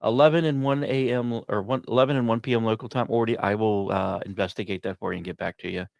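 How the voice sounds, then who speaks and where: A man hosting a podcast, reading scripted space and science narration with occasional asides, in dramatic storytelling phrases broken by short pauses. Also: on the low side, fairly even intonation, gravelly, darkish tone, no echo, studio quality. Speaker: podcast host.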